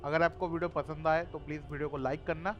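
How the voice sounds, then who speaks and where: A man's voice: a little high, steady tone, very fast, dull audio. A man with an Indian accent giving casual gameplay commentary as a video host, thinking aloud and repeating himself. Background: game music.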